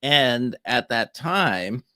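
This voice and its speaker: A man's voice: medium pitch, animated, very slow, bright, forceful tone, no echo, studio quality. A middle-aged man co-hosting a casual chat, laid-back and rambling. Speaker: magician and co-host. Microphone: studio mic.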